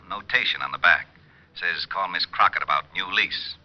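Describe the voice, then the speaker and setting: An actor playing a harried, slightly dim but dutiful police officer in an old radio detective drama. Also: male voice, low voice, moderate pace, lightly acted. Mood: matter-of-fact. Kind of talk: 1940s-50s radio acting. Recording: muffled old radio recording.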